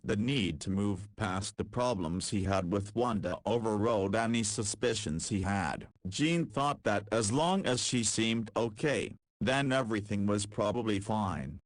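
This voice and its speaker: Male narrator, low voice, even matter-of-fact scripted reading, dry close mic. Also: measured pace, hardly any pauses, no echo.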